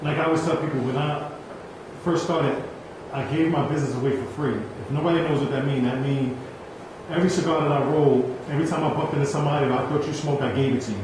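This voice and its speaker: Joking high-voiced man in an echoing room. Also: fast talker. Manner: joking, slangy, excitable banter. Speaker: podcast host interviewing a guest. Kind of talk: casual chat. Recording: roomy echo, distant mic, loud background noise.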